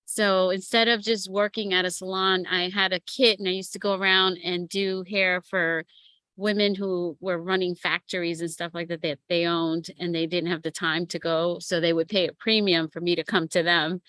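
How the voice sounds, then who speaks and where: A woman in her fifties, a matchmaker and coach, telling her life story in a relaxed interview with frequent laughs. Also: on the low side, moderate pace, dry recording. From United States. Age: 50s. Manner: lively storyteller who laughs often. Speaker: guest, matchmaker and relationship coach. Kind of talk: casual interview chat.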